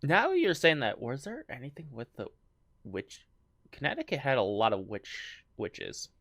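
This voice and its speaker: A man co-hosts a casual podcast and reads out an account in a lively, up-and-down voice. He trips over words, fills gaps with ums and laughs often. The sound is dry and close. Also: mid-range voice, a little slow, gravelly, no echo. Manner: stumbling, laughs often, many fillers. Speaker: podcast co-host. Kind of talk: casual chat, reading a story.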